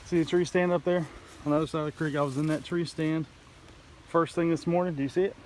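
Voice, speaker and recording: A man telling a story casually in a halting, rambling way, like an outdoor vlog host talking to the camera. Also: on the high side, steady tone, a little mellow. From United States. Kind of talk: casual play-by-play hunting storytelling. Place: outdoors in woods by a creek. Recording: noisy outdoor ambience.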